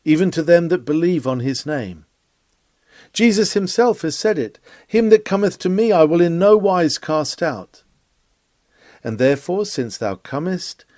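A man's voice: on the high side, darkish tone, dry recording. A man reading a sermon aloud in an earnest, pleading, emphatic oratorical manner. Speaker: reader of a historic sermon.

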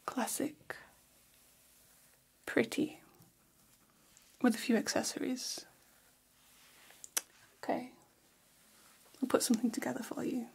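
A woman in her thirties playing a friendly, gossipy vampire hairdresser in a soft, unhurried, breathy ASMR whisper, close to the microphone. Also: a little high, full character voice. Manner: soft, unhurried, chatty, attentive. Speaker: ASMR performer. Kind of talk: whispered ASMR salon roleplay. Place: small decorated indoor room.

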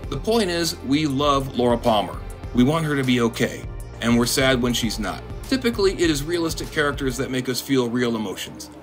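A man narrating a scripted video essay in a dry, monotone, deadpan way. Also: mid-range voice. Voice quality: monotone delivery. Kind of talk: scripted commentary.

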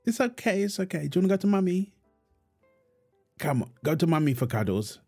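Man acting as lively, soothing young dad. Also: high voice, a little fast, soft background music, heavily acted. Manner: soothing, affectionate, coaxing. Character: gentle, reassuring young father and husband. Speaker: voice actor in audio roleplay. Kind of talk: intimate comfort roleplay, casual one-sided dialogue.

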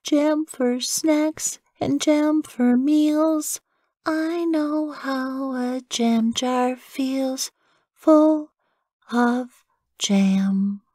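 Woman as young storybook badger girl, high voice, childlike, stubborn, plaintive, singsong, dry close sound. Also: warm tone, dry recording. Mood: singing. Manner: childlike, breaks into slow little songs. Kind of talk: storybook character voice.

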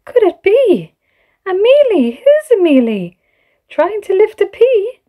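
A woman's voice: very high voice, slow pace, narrow, tinny audio, voice pushed up, full character voice. A woman voicing a small, boastful, eager male strongman flea in a children's storybook. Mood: excited. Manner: boastful, excitable. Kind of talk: children's storybook character reading.

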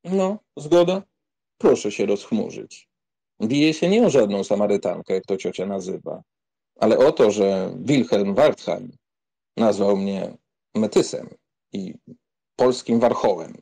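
Man playing a cocky young count, animated intonation, mellow husky voice, mocking, teasing, audiobook drama. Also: lowish voice, unhurried, heavily acted. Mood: cocky, cajoling. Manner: mocking, amused, mock-solemn.

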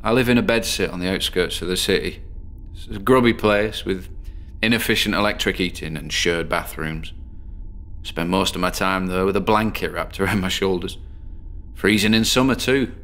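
A man's voice: sits low, moderate pace, fairly bright tone. An actor playing a lonely, downtrodden middle-aged man in a bedsit, in a radio drama, who talks in a rambling, digressive, self-deprecating way. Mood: weary. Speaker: patient in a horror audio drama. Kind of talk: first-person radio drama monologue.

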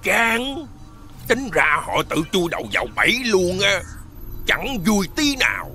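A man's voice: sits high, sing-song, measured pace, sharp tone, faint music behind, heavily acted. An actor playing a mocking, scornful monster henchman to a villain in a children's cartoon. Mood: bored, scornful. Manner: scornful, exaggerated.

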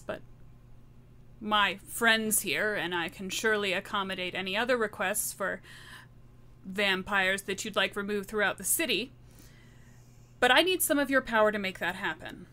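A determined, vengeful woman played by a woman in a tabletop game. Her voice is bright and forceful, mid-pitched, with a cold and deliberate threat. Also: animated. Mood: threatening.

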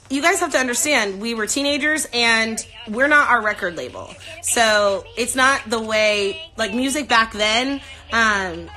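A woman's voice: fairly high pitch, pushed and bright. A woman in her thirties chatting candidly to fans on a phone livestream from her couch at home. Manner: chatty, candid, laughs often. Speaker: singer answering fans on a livestream. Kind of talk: casual livestream chat. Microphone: phone held at arm's length.

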